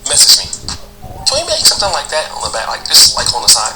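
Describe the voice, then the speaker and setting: A man's voice: fairly high pitch, wildly swooping pitch, quick pace, reverberant, loud music behind the voice, rough recording. A man commenting on a clip in a blunt, mocking way, slipping into mock voices. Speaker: commentator reacting to clips. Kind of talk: reaction commentary.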